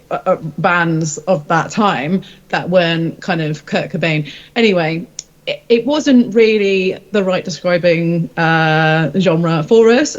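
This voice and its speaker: A woman's voice: on the low side, lo-fi sound. A woman musician chatting as an interview guest in a casual, informal, self-deprecating way.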